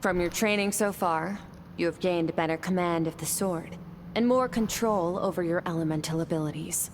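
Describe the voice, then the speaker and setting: A composed swordswoman teaching a pupil in a video game, voiced by a woman. Her voice sits somewhat low and she speaks evenly and steadily, with faint noise behind her. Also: normal speed, close to an everyday voice. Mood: calm. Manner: even, composed, instructive. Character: calm, cool female swordswoman mentor. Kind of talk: game voice acting.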